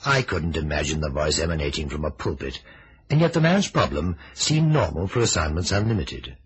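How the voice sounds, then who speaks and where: An actor playing a thoughtful, measured detective agency boss with a husky voice in an old-time radio mystery. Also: male voice, very deep voice, very animated, heavily acted. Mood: reflective. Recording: vintage radio recording.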